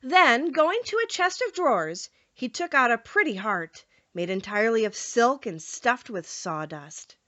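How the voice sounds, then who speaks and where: Bright, very animated woman narrator. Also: a little high, unhurried, hardly any pauses, no room sound, lo-fi sound. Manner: expressive, clearly paced storytelling. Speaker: audiobook narrator. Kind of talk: scripted children's story narration.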